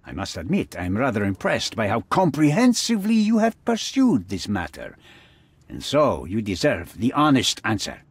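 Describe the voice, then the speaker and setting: An actor playing a menacing, haughty middle-aged crime boss with a husky voice, speaking formally and deliberately in a dramatic video game style. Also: male voice, on the low side, wildly swooping pitch, no room sound, exaggerated voice. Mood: calculating, smooth.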